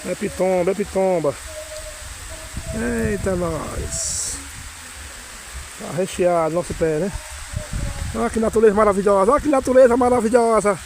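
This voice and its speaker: A hoarse man, excitedly exclaiming as he films his farm outdoors in the rain on a phone. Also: high voice, normal speed, pauses often, a little hiss or hum, low-quality recording. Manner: excited, exclaiming and singing along to music. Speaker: vlogger filming his farm. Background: music playing.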